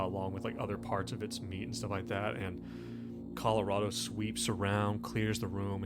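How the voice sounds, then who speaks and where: Male game master, lowish pitch, off-the-cuff conversational horror narration with halting phrasing, over prominent music. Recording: music playing under the voice. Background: background music.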